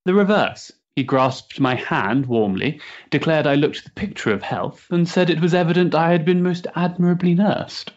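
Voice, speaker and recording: Period drama: actor as restless young gentleman politician, polite halting delivery, lightish pitch, dull recording. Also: close to an everyday voice. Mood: amused, dry. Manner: hesitant, polite, mannered upper-class diction. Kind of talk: period stage-play acting.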